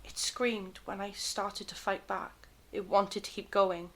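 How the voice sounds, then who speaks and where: A woman's voice: mid-range voice, flat delivery, measured pace, lightly acted. A woman playing a shaken, defiant survivor of an ordeal in an audio drama, intense and earnest. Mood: haunted.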